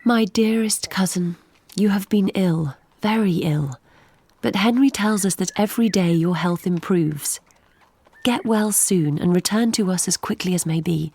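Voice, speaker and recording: An actress playing a tender, yearning young gentlewoman, reading her letter softly and gently in an audio drama. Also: medium pitch, unhurried. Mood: affectionate. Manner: soft, gentle, breathy at times.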